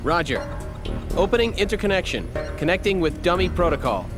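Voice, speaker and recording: A calm sci-fi game technician. His voice sits a bit high and he talks in measured, procedural phrases. Loud music plays over him. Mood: focused, procedural. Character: calm, businesslike male technician in a sci-fi lab. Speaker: lab technician. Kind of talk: scripted video game dialogue.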